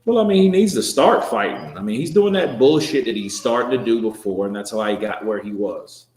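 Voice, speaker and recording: A man with a lowish voice hosts a live boxing stream and chats through viewer comments. His talk is loose and joking, with swearing and restarts. The sound carries a roomy echo. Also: very animated, brisk, darkish tone.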